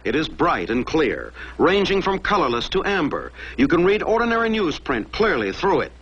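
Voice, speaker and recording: American man, vintage military film narrator, fairly high voice, animated intonation, emphatic, muffled lo-fi soundtrack. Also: normal speed, husky. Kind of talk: scripted mid-century military training film narration. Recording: dull lo-fi old film soundtrack.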